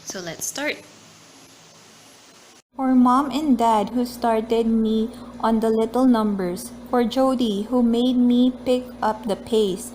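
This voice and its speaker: High-voiced woman reading aloud, noisy recording. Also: dark tone. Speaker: host of a children's read-aloud channel. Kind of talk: picture book read-aloud with casual chat.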